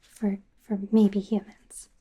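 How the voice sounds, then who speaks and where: A woman playing a friendly, self-correcting werewolf doctor in an ASMR roleplay, speaking in a soft, gentle, hesitant whisper. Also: mid-range voice, completely flat delivery, slow, muffled recording. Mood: gentle, attentive.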